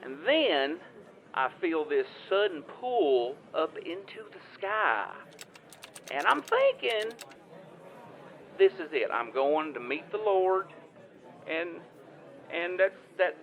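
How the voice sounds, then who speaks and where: Casting: devout Southern farmer caller, higher-pitched, rambling and stammering, easily flustered, dulled call audio, comic radio play. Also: male voice, big pitch swings, dull audio, full character voice. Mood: agitated, earnest. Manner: rambling, stammering, halting, folksy. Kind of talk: improvised comedic audio drama phone call.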